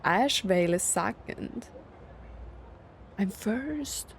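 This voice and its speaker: A woman's voice: medium pitch, expressive, extremely slow, slight background noise. Casual woman commenting on a game. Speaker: gaming video host. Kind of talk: casual playthrough commentary and reactions.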